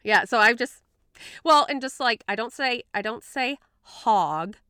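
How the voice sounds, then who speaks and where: A woman co-hosting a casual podcast, her Western Michigan accent showing a touch of the Upper Peninsula. Her voice is bright and smooth, pitched a little high, and she talks in an animated, profane way. The recording is close and dry. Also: measured pace, dry recording. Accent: Western Michigan, Upper Peninsula tinged. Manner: swears freely, laughs often, animated. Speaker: podcast co-host.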